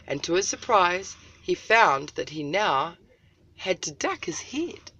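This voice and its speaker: A woman from New Zealand reading a children's story aloud as host, dramatic, with deliberate pauses and emphasis. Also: fairly low pitch, sing-song, fairly bright tone, lo-fi sound.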